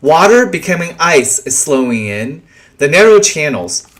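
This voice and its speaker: A man reading translated poems aloud in a deliberate way, pausing between short phrases. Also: on the high side, sing-song, a bit bright, rough recording. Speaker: poetry reader.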